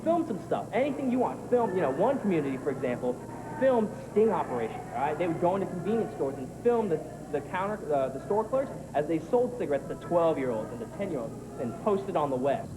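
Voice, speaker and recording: A man's voice: on the high side, sing-song, quick pace. A man giving an informal talk as a workshop leader. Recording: noisy live workshop recording.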